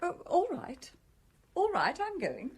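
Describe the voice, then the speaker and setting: An actress playing a brisk, guarded and protective household companion in a radio drama, prim and defensive. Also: female voice, very high-pitched, wildly swooping pitch, heavily acted. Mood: protective, stern.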